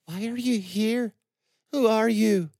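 A woman's voice: on the high side, slow, dark tone, no echo, clean studio sound, pitched above the performer's own voice. A man voicing a frightened young woman held captive, pleading and choked with sobs. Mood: angry.

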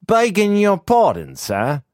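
A man's voice: high voice, wildly swooping pitch, slow, a little mellow, no echo, clean studio sound, voice pushed up, exaggerated voice. A man voicing a humble working-class gravedigger speaking up to his master. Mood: deferential.

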